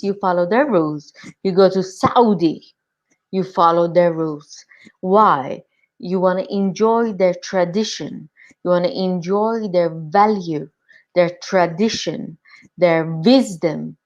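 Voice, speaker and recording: Low-voiced woman, dull audio. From Iran. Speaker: podcast panelist. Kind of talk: opinionated panel discussion.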